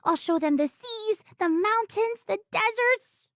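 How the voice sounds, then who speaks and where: A woman's voice: extremely high pitch, animated, unhurried, fairly bright tone, no room sound, studio quality, exaggerated voice. A woman voicing a plucky, earnest and determined child pirate captain in an anime game. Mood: earnest, resolute.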